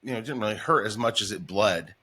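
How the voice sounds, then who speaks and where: A man's voice: on the low side, quick pace, crowd noise. An American man hosting a casual interview, talking in an enthusiastic, rambling storyteller's way. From Denver, United States. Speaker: podcast host, former morning radio voice talent.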